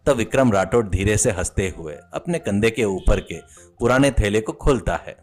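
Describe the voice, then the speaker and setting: A man's voice: lowish voice, expressive, moderate pace. A man narrating a scripted comic moral story as its storyteller. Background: music.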